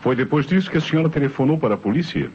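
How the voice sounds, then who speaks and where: An actor playing a measured, methodical Brazilian police inspector in an old radio mystery, deliberate and authoritative. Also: male voice, medium pitch, lively intonation, darkish tone, natural voice. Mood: questioning. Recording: muffled old radio recording, clear noise.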